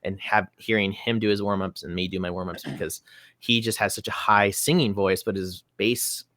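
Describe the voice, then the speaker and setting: A man's voice: sits low, steady tone, moderate pace, a bit bright, no echo. A young adult man, a musician guest on a podcast, chatting casually and rambling a bit as he thinks aloud.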